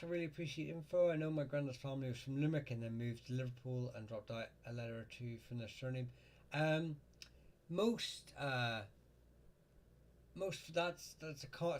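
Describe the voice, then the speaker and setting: A man hosting a casual live Q&A, answering viewer questions in a halting way with lots of ums and uhs. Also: mid-range voice.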